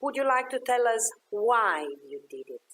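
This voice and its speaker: A woman's voice: a little high, big pitch swings, fairly bright tone. A woman playing an earnest field news reporter in an audio drama, formal and composed. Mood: patient, probing. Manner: formal, measured broadcast delivery.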